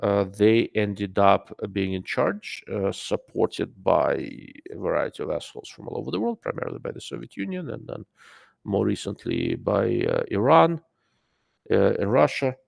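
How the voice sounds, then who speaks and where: Accented male livestream host, low gravelly voice, dry close sound, rambling off-the-cuff delivery. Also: sing-song, normal speed, no echo. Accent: non-native English. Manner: rambling, filler-heavy, greets chat mid-sentence. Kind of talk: casual live-stream commentary.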